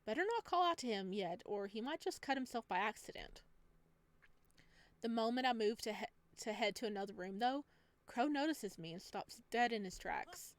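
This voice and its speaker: A woman hosting a let's play, reading a visual novel aloud with playful, expressive casual commentary. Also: fairly high pitch, normal speed.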